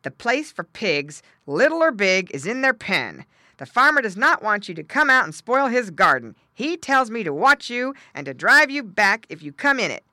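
Woman as a loud, barking storybook guard dog. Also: mid-range voice, very animated, moderate pace, dry recording, clean studio sound, voice pushed up. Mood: stern. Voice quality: barking, growling. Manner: firm, loud, scolding. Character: big stern but polite male farm dog. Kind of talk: children's storybook character voice.

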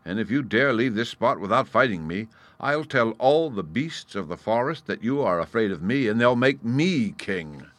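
A tiny, boastful gnat from a storybook, played by a male reader. He sounds disdainful and self-important, with a bright, crisp attack in a very dry recording. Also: fairly low pitch, expressive, moderate pace, few pauses, no room sound, voice pushed up. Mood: taunting. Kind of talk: children's storybook character voice.

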